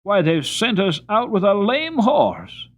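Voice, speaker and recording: A man voicing a careless, loud-mouthed hired driver who blusters and drags out his exclamations. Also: high-pitched, wildly swooping pitch, slow, voice pushed up, heavily acted. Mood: indignant. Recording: tinny phone-like audio.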